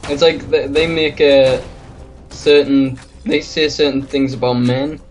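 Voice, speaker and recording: A man's voice: mid-range voice, little pitch movement, measured pace, soft background music. A young man talking casually as a co-player during a game. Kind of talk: casual chat while playing a game.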